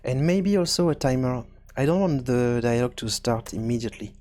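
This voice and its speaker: A man's voice: mid-range voice, animated, a little mellow. Man thinking aloud in a coding tutorial. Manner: halting, thinking aloud with repeated words. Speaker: tutorial host and game developer. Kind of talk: casual screencast programming tutorial.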